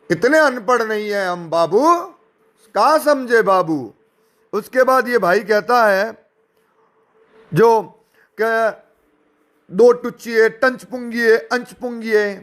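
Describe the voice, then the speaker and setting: Male, notably high voice; informal rebuttal delivered with mockery, indignation and pointed rhetorical questions. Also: fairly bright tone. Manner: sarcastic, mocking. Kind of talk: informal spoken rebuttal, addressing listeners directly.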